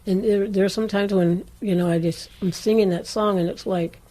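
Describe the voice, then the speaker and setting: A woman with a low voice, co-hosting a casual chat and often affirming with mm-hmms. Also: steady tone, moderate pace, low-quality recording. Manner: warm affirming listener, frequent mm-hmms. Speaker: co-host.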